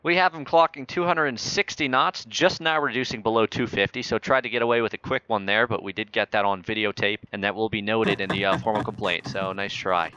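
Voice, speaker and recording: Crisp, bright, low-voiced man. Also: brisk, few pauses, lo-fi sound. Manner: mock-official controller phrasing. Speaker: host playing air traffic controller. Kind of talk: joking air traffic control radio talk in a flight simulator game.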